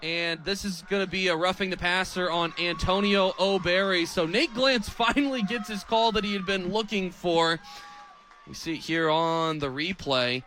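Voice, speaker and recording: A man's voice: high-pitched, moderate pace, sharp tone. A man in his twenties with an American accent calling live football play-by-play as a radio announcer. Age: 20s.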